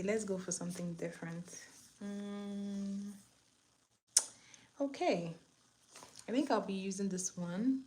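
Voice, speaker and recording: A woman's voice: mid-range voice, background noise. A young woman with a Nigerian accent and Igbo as her first language, chatting casually through a makeup tutorial and sometimes dropping to a whisper. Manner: chatty, drops to a whisper while applying. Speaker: makeup vlogger.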